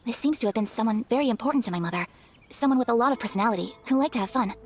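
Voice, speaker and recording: An actress plays a young noblewoman in an anime game, gentle, formal and earnest. Her voice is on the high side and her polite phrases move quickly, with music clearly behind her. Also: lively intonation, lightly acted. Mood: earnest, confiding. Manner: formal, polite, carefully articulated. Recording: background music under the voice.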